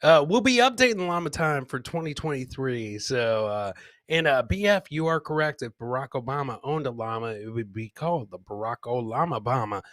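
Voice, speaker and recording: A man hosting a comedy podcast, bantering casually in a rowdy, rambling way with shouted bursts. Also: mid-range voice, animated, fairly quick, no echo, studio quality. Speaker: host of a live comedy podcast. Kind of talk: boisterous casual live banter with listeners.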